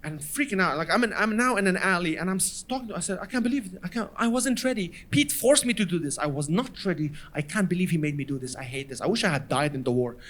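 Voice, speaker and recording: Man, Lebanese accent, stand-up comedian, animated storyteller, stage mic, high pitch. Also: fast, few pauses. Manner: animated, acts out voices of others in anecdotes. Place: stage before a live audience.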